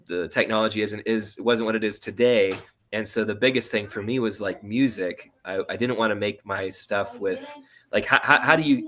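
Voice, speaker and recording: A man talking as an interview guest, explaining things casually and haltingly. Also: on the low side, bright, forceful tone. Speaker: guest, audio drama writer and producer.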